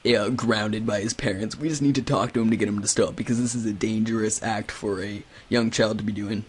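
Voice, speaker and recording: A man's voice: on the low side, fairly even intonation, brisk, slightly rough. A man playing a stern, officious website manager on a prank phone call, speaking in hedging official phrases. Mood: earnest. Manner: mock-formal, hedging with official-sounding phrases. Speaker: prank caller.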